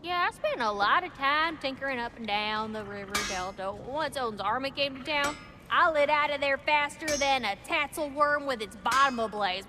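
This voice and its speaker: High, lively, folksy tinkerer lady. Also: moderate pace, over music. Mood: sweet, chatty. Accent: Southern American. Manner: chatty, polite, colourful. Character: folksy, chatty female tinkerer, sweet and eccentric. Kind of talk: video game character acting.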